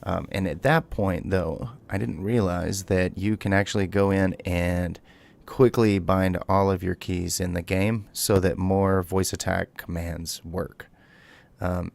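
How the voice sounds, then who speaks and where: Low-voiced man hosting a gaming video. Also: vocal fry. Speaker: video host and gamer. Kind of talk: casual game tutorial narration with spoken voice commands.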